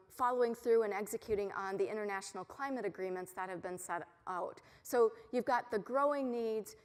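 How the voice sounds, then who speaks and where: A middle-aged woman from the United States gives a conference keynote, sure of herself and upbeat, and she pauses now and then on an um. Her voice has an everyday middle pitch for a woman. It is picked up on a mic and rings with the long reverb of a big conference hall. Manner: confident, upbeat, frequent ums. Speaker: keynote speaker.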